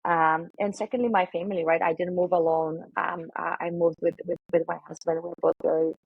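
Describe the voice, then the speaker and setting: Woman from Bulgaria, 30s, lower voice, husky tone, energetic chatty guest, filler-heavy. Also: fairly quick, no room sound, narrow, tinny audio. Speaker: guest, sales director. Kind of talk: casual interview conversation.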